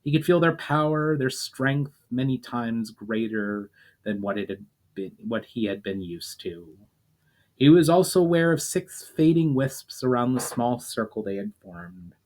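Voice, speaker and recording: A man reading fantasy fiction aloud as a storyteller, in a dramatic audiobook style with measured phrasing that falls away at line ends. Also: medium pitch, lively intonation, a little mellow, low-quality recording. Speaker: author reading own fiction aloud, channel host.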